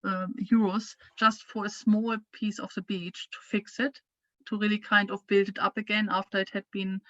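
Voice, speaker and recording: A woman with a German accent leads an online training session and talks in a flat, level tone. She hesitates often, filling gaps with "um" and restarting sentences. The sound is a dull, dry lo-fi video call recording. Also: mid-range voice, moderate pace, dry recording. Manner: hesitant, many fillers and restarts. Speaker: climate training lecturer.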